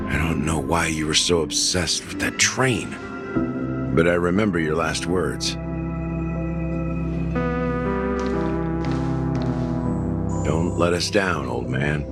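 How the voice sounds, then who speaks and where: An actor playing a weary middle-aged man who speaks calmly and reflectively of a late friend. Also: deep voice. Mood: wistful. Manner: calm, reflective, measured. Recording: game cutscene with loud music.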